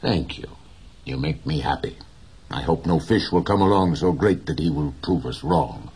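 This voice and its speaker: A man voicing a poor but proud, gentle old fisherman who speaks plainly and slowly. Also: extremely low pitch, slightly rough, full character voice. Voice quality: slow, plain, dignified speech.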